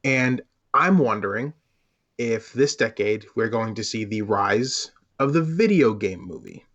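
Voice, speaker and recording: A man co-hosting a casual discussion podcast, setting up topics in a measured, deliberate way with some casual slang. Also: fairly low pitch, animated, a bit bright, lo-fi sound. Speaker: podcast host.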